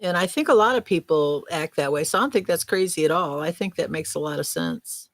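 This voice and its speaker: A woman's voice: low voice, animated, brisk, no room sound. A woman talking casually on a podcast panel, with a self-deprecating, joking manner. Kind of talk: casual conversational discussion.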